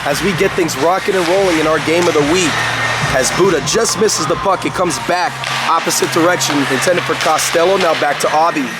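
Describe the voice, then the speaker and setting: A man calling an amateur hockey game play by play, with a raspy voice hoarse from a cold, talking fast and with energy. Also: a little high, over loud music, rough recording. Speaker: play-by-play commentator. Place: rink broadcast booth.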